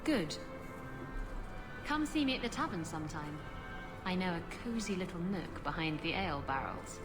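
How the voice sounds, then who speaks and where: A woman's voice: on the low side, lively intonation, moderate pace, over music, close to an everyday voice. A woman playing a flirtatious, worldly pirate in a video game, with a sultry, teasing, carefree delivery.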